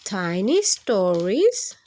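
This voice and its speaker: A woman hosting children's storytime, reading picture books aloud in an expressive, sing-song way and putting questions to the children. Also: medium pitch, drawn-out words, slight background noise.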